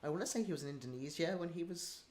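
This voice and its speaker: A man's voice: fairly high pitch, rapid-fire, a little mellow. A man who is part of a show's crew, chatting casually on a livestream.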